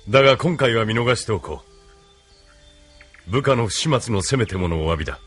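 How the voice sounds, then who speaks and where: 男性声優がアニメのオーディオドラマで、落ち着いて威厳のある中年の軍大佐を演じている。. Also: male voice, on the low side, lively intonation, husky, faint music behind. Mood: probing, assured. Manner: measured, authoritative. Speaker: voice actor. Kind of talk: anime audio drama acting.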